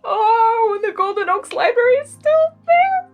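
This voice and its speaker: A woman reading a story aloud as a host, with an excitable, gushing, expressive delivery. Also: very high-pitched, a little slow, phone-quality sound, faint music behind. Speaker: fan fiction reader and channel host.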